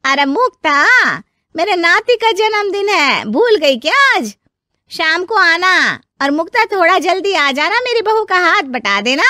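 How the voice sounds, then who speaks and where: Gossipy older aunt, put on by a woman, high voice, effusive, exclaiming, bossy, cartoon family story, dry sound. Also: big pitch swings, a little slow, sharp tone, no echo, full character voice.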